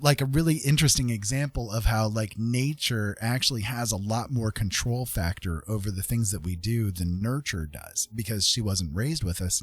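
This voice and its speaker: A man's voice: lowish voice, husky, no room sound. A man chatting casually as a livestream host, rambling conversationally and often checking in with his listeners. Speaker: live streamer host.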